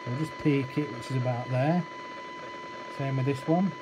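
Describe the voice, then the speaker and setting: A man giving an informal hands-on technical walkthrough, halting and thinking aloud as he works at a bench. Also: mid-range voice. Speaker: electronics repair demonstrator. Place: indoors at an electronics workbench. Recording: very noisy amateur room recording, loud hiss. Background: loud electronic noise.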